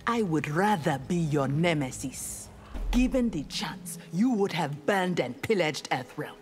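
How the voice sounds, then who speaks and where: A stern young female ninja in a fighting game. Her voice is very low, formal and resolute, with faint music behind. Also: animated, a little slow, darkish tone. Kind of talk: fighting game character dialogue.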